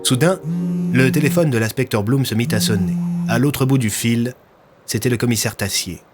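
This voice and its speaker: A man's voice: mid-range voice, normal speed, over loud music. A man narrating a scripted audio fiction as its storyteller.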